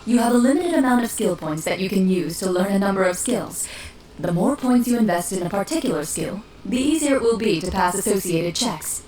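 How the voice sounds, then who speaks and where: An actress playing a calm woman who runs a tabletop game and explains the rules patiently. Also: mid-range voice, brisk, slight background noise, close to an everyday voice. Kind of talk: game voice acting. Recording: distant echoey game audio with music.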